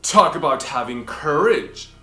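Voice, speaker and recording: A woman hosting a children's book club, reading aloud expressively with theatrical, deliberate emphasis. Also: low voice, lively intonation, a bit bright. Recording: roomy echo, faint music behind.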